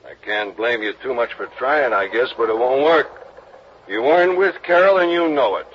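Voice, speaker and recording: A dogged, hard-boiled insurance investigator, voiced by a man questions people in clipped, dry lines. His voice sits at an ordinary middle pitch. The old radio broadcast sounds muffled, with clear hiss underneath. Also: moderate pace, lightly acted. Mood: probing. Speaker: lead and narrator. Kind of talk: 1950s radio drama acting.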